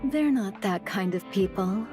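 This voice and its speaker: A woman's voice: mid-range voice, lively intonation, unhurried, close to an everyday voice. Papillon, a woman voicing a flirty teasing ally. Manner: teasing, calls others honey. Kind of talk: game voice acting. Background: game music.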